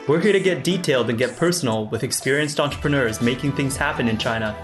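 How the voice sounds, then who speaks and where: Man hosting a podcast, mid-pitched voice. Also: fast, over music. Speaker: podcast host. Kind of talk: podcast intro and interview questions. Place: sit-down interview in Shenzhen.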